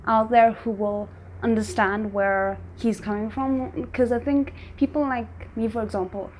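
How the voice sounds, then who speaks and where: Female reaction host, fairly high voice, casual off-the-cuff commentary, thinking aloud. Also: fairly even intonation, unhurried, darkish tone, slight background noise, poor audio.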